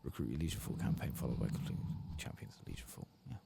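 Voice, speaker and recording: A soft-spoken man murmuring ASMR commentary as he plays a video game. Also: very animated, very fast. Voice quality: deep, gravelly. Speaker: video game streamer and host. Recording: heavy background noise with game ambience.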